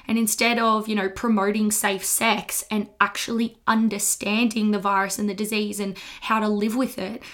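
Young Australian woman host, a touch husky, bright and chatty, frank explainer tone. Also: medium pitch. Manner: chatty, frank, laughs between points. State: slightly husky from a recent illness. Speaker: podcast host and health teacher. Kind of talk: casual educational monologue.

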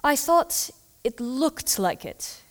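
A woman's voice: high-pitched, big pitch swings, slow pace, heavily acted. A woman playing an anxious, loyal Victorian housekeeper in an audio drama, speaking formally and urgently, with deference. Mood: worried, confiding. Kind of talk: dramatic audio play acting.

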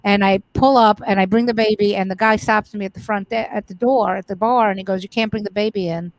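A woman's voice: mid-range voice, steady tone, fast talker, no echo, rough recording. A middle-aged woman comedian telling stories from her life in a casual interview, frank and profane, often restarting her sentences. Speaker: comedian guest.

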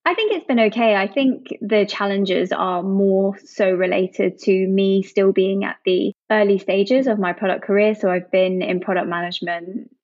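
A woman's voice: mid-range voice, normal speed, hardly any pauses, a little mellow, no room sound. A young woman from Jersey, a product manager and interview guest, answering upbeat and chatty with lots of "actually" and "you know". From Jersey, Channel Islands. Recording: dull, dry sound.

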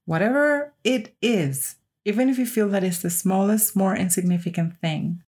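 A woman's voice: mid-range voice, normal speed, darkish tone. A woman in her thirties or older giving a personal, reflective podcast monologue with emphatic, repeated phrasing. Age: 30s or older. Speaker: podcast host.

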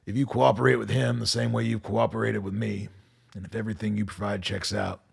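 An actor playing a weary middle-aged colonel in a radio drama, measured and commanding. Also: male voice, fairly low pitch, level tone, exaggerated voice. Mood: firm, reassuring. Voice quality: gravelly, husky. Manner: measured, authoritative.

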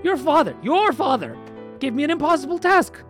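A woman voicing a frightened young prince who pleads anxiously in a high voice. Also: sing-song, brisk, a bit bright, faint music behind, heavily acted. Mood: distressed.

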